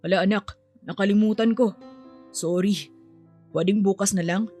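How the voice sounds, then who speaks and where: Casting: woman reading as an affectionate, easygoing young father in a story, gently coaxing, faint music underneath. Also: mid-range voice, moderate pace, close to an everyday voice. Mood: apologetic. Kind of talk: audio story dialogue.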